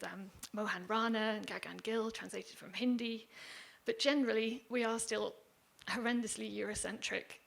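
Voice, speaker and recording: A woman presenting at a panel with slides, speaking in a measured, self-deprecating way. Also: fairly high pitch, creaky. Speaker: poetry organisation manager presenting. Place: conference hall.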